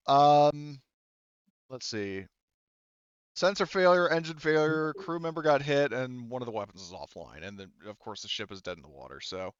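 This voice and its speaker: Dude game mastering, smooth voice, kinda muffled dry mic, thinks out loud and stops a lot. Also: male voice, mid-range voice, animated, dry recording. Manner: halting, thinking aloud while rolling dice. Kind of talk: casual tabletop game narration with rules talk.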